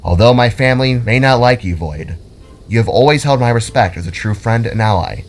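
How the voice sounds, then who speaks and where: A man's voice: mid-range voice, animated, fairly quick, soft background music, close to an everyday voice. A man voicing a mighty, peaceful Norse war god in a narrated fantasy story, stately, formal and welcoming. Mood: warm, solemn.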